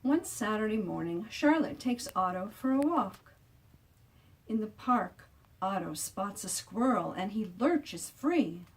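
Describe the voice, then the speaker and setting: Female author for picture-book read-aloud: slow, deliberate, expressive, stretches key words, recorded slightly off mic. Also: mid-range voice, distant mic, poor audio. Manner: deliberate, drawing out sound words for emphasis. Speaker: children's author reading own book aloud.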